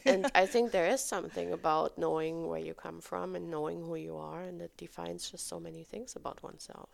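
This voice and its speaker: German woman, lots of vocal fry, kinda low, chatting thoughtfully. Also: steady tone. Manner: thoughtful, measured. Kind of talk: conversational discussion.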